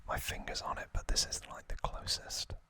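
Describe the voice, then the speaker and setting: A man hosting an unscripted ASMR unboxing in a breathy whisper, speaking in short, halting phrases with pauses. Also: bright, forceful tone. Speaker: ASMR video host.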